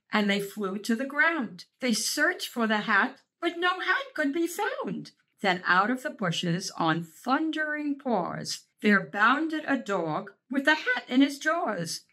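Bright, slow-reading woman storyteller. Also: a little high. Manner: expressive, sing-song storytelling. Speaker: storybook reader, channel host. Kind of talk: children's picture book read-aloud.

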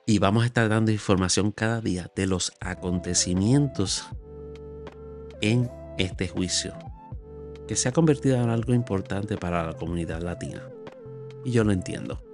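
A man in his sixties with a Puerto Rican accent, speaking emphatically into a close studio microphone, scolding his viewers in a solo commentary from a small room at home. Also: fairly low pitch. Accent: Puerto Rican Spanish. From Puerto Rico. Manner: earnest, scolding, emphatic, talks with his hands. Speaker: YouTube commentator. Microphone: large studio mic on a boom, close up.

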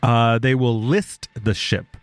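A man's voice: mid-range voice, fairly even intonation, slow, no echo, faint music behind. A male podcast co-host casually reading out stories, swearing freely and laughing often.

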